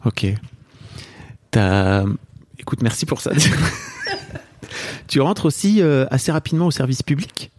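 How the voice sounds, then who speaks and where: A man's voice: medium pitch, very animated, slow pace, long pauses, a little mellow. A man hosting a podcast, asking questions informally and circling around them as he goes. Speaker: podcast host. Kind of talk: casual interview chat.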